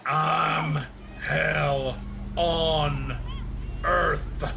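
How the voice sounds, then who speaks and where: A man playing a violent, goading young hothead in a radio drama, shouting and stretching his words. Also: a little high, fairly even intonation, gravelly, background noise, exaggerated voice. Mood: furious. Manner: shouting, drawn-out cries. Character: hot-headed young McCoy brother, violent and goading. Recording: loud music under the voice.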